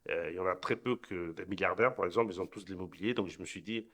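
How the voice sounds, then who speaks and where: A man's voice: low-pitched, fast, fairly bright tone. A middle-aged man from Portugal, answering interview questions earnestly, often repeating and restarting words. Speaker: interview guest, entrepreneur.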